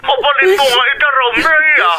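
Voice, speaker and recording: A man's voice: very high-pitched, fairly even intonation, moderate pace, music in the background. An actor with a Filipino accent playing a worried, tender elderly father on the telephone in an Ilocano radio drama. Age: older. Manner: fretful, fatherly, exclaiming.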